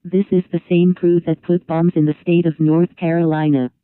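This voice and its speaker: Tinny, flat, high-pitched male alert announcer. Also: smooth, dry recording. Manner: flat, impersonal, measured. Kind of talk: formal scripted emergency broadcast announcement. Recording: tinny phone-like radio band.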